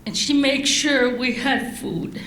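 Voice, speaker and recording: Slow, deliberate middle-aged woman speaker. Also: high voice, fairly bright tone, roomy sound, slight background noise, low-quality recording. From Laos, raised in France. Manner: slow, deliberate delivery with long pauses. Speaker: guest speaker. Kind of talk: prepared speech.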